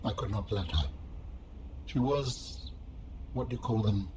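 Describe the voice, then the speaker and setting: A man voicing a calm, secretive alien digital being in a video game, with measured, formal phrasing. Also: fairly low pitch, noisy background. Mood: calm, guarded. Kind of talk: scripted game voice acting.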